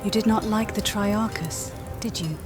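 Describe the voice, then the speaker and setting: A woman's voice: mid-range voice, measured pace, over music, lightly acted. Woman acting a poised young Roman noblewoman. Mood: composed. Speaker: protagonist of a role-playing game. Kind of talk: scripted game dialogue.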